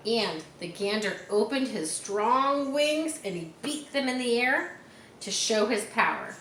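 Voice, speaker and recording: A woman's voice: on the high side, animated, unhurried, a bit bright. A woman reading a storybook aloud to children expressively, with explanatory asides. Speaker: storybook reader. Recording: echoey room, faint background noise.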